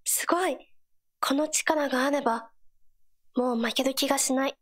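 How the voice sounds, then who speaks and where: A woman voicing an earnest, supportive teenage girl companion in a game, gentle and encouraging in short scripted lines. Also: sits high, normal speed, natural voice. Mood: earnest, determined. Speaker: game character voice actor.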